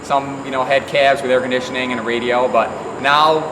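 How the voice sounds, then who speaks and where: A plain-spoken man giving informal interview answers as a farm employer, talking haltingly with many filler phrases. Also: medium pitch, little pitch movement. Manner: plain-spoken, halting, frequent "you know". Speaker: farm employer and heavy equipment operator. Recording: distant, echoing, loud vehicle and ventilation hum.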